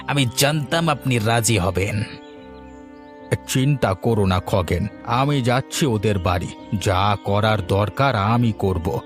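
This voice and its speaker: A man voicing a spiteful, greedy village schemer in a cartoon, with a conniving, accusatory manner. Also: lowish voice. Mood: greedy, resolved. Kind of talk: cartoon dialogue acting.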